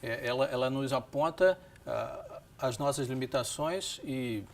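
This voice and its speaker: A man with a Brazilian Portuguese accent hosting a Bible discussion in a measured, didactic way, often seeking agreement. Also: medium pitch, creaky. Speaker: host of a religious programme.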